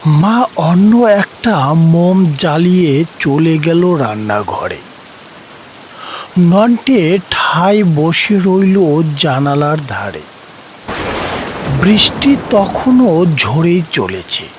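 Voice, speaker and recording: Male storyteller, scripted narration. Fairly high pitch, very unhurried pace, light background noise.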